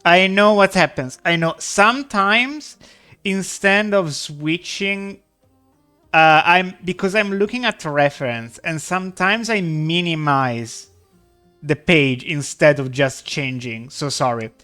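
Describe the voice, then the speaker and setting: A man's voice: fairly high pitch, slow, sharp tone, clear, resonant tone, faint music behind. A man streaming live, chatting casually and rambling while he draws. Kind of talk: casual chat while drawing.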